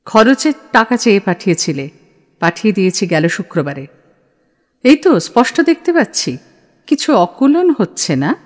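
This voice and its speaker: A woman's voice: medium pitch, sing-song, moderate pace. Woman acting stern commanding mother, reverberant. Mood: cold, accusing. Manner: cutting, authoritative. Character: stern, shrewd, commanding middle-aged mother. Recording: long hall-like reverb.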